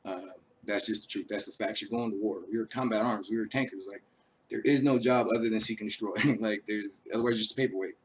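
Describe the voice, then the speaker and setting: A man who is an army veteran hosting his own show, talking casually and rambling with many fillers, his voice raspy from a cold. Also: lowish voice, steady tone, fast, slight background noise, poor audio. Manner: laid-back, rambling, frequent uh fillers. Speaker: show host. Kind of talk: casual live-stream talk.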